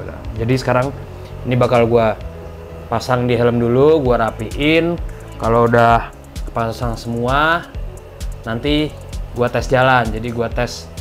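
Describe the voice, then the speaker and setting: A man with a Jakarta Indonesian accent talks as a motovlogger in a casual, colloquial review style, explaining things as he goes. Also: medium pitch. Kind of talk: casual product review vlog.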